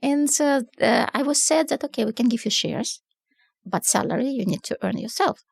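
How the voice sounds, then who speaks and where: A middle-aged woman from southern Russia with a Russian accent, a newspaper publisher telling her story in a relaxed interview, with many fillers and non-native grammar. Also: on the high side, normal speed, vocal fry, breathy edge, dry recording. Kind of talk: casual conversational storytelling.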